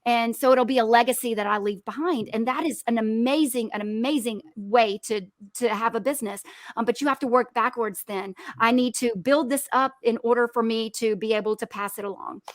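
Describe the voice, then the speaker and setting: A woman's voice: fairly high pitch, brisk, hardly any pauses, no room sound, studio quality. A woman speaking as a podcast guest, giving encouraging, conversational business advice with emphatic repetition.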